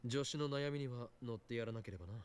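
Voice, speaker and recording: A man's voice: mid-range voice, racing, slight background noise, lightly acted. An actor playing a pompous, theatrical young man who teases, in a visual novel. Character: pompous, theatrical, teasing young self-styled boss. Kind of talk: Japanese visual novel voice acting.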